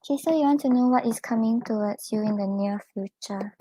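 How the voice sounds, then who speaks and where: A young Singaporean woman giving a live-stream tarot reading, offering gentle reassuring advice with casual fillers. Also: on the high side, fairly quick, a little hiss or hum, low-quality recording. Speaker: tarot reader.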